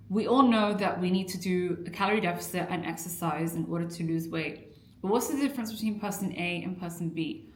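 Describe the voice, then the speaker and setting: A young adult woman from an Iraqi family, a weight-loss coach talking candidly and earnestly to camera in a personal vlog. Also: mid-range voice. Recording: echoey room.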